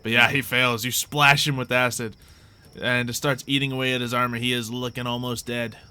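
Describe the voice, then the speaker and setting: A man's voice: medium pitch, little pitch movement, brisk, bright, forceful tone, clean tone. A jovial man who laughs readily, casually narrating a tabletop roleplay as game master.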